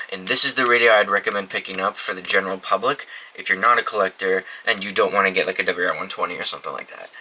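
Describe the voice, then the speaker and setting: A man's voice: lowish voice, bright, forceful tone, slight background noise, poor audio. A young man casually showing off his weather radio collection, rambling and swearing freely, in an indoor room. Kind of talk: casual show-and-tell vlog. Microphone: camera mic.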